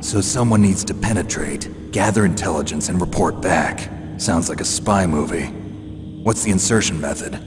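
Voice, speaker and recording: An actor playing a battle-weary middle-aged special forces infiltrator, with a gruff, gravelly American voice and terse, questioning delivery in game dialogue. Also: male voice, low-pitched, moderate pace, noisy background, close to an everyday voice. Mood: wry, businesslike. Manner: terse, questioning, echoing key words back. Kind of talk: dramatic video game cutscene dialogue. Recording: long echoing reverb, music underneath.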